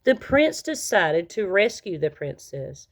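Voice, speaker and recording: A woman reading a children's story aloud as narrator, in an expressive storytelling manner that leans on key words. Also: medium pitch, a little slow. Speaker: storybook reader.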